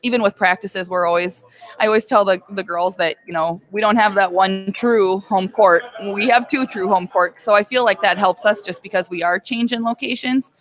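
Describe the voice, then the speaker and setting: A woman's voice: medium pitch, fairly even intonation, moderate pace, rough recording. A woman in her thirties, a girls basketball head coach, answering interview questions in a plain-spoken way with many fillers. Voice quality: loud voice.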